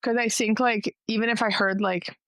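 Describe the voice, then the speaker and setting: A young woman hosting a casual podcast chat, talking fast and loose with lots of "like" and a slightly nasal voice. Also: a little high, fairly even intonation, fairly bright tone, clean tone, no echo. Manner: chatty, heavy use of "like", quick asides. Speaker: podcast host.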